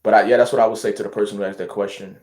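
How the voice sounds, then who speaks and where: A man's voice: lowish voice, steady tone, racing, darkish tone, reverberant. A man from Louisiana with a light Louisiana accent, chatting casually on a livestream and answering viewer questions in a rambling, laid-back way full of fillers.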